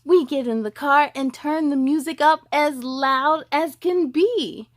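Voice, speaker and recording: Female children's read-aloud host, very high voice, animated sing-song delivery, speaks directly to kids. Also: a little slow. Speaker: host of a children's story time show.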